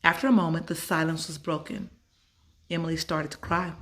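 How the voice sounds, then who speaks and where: A woman's voice: sits low, level tone, brisk, fairly bright tone. A woman storyteller narrating a children's story from a script.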